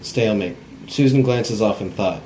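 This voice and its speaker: Low male voice, stage-direction narration, plain amateur cold read, occasional stumbles, faint noise. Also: normal speed, mellow, poor audio. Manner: plain, stumbles over words.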